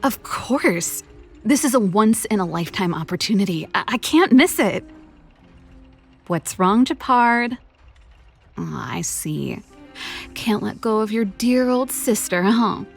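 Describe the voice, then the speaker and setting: An actress playing a breezy, teasing older sister in a video game, a young woman with a casual, playful manner and a slightly gravelly voice. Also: mid-range voice, animated, slow, long pauses, full character voice. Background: music.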